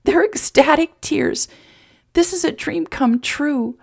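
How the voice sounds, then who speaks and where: A woman's voice: mid-range voice, animated, moderate pace, creaky, voice pushed up. A woman voicing a tender, emotional, playful woman in love in an audiobook romance, with heartfelt sincerity. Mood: overjoyed.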